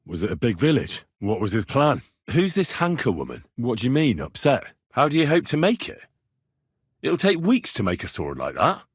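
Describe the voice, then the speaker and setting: A man voicing a blunt, dogged young medieval adventurer in video game dialogue, with a husky voice and a probing, conversational manner. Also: mid-range voice, no room sound, studio quality. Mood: inquisitive, measured.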